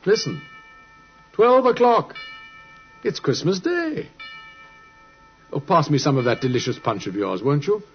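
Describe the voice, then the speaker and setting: An actor playing a shrewd, commanding British gentleman detective in an old radio drama, precise and theatrical. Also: a little high, big pitch swings, measured pace, long pauses. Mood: reflective, warm. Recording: muffled vintage radio, music behind.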